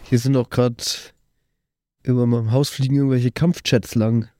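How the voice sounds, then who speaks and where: A man's voice: medium pitch, little pitch movement, moderate pace, dark tone, dry recording. A man chatting casually as a player in an improvised tabletop roleplaying game. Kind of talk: casual improvised in-character chat.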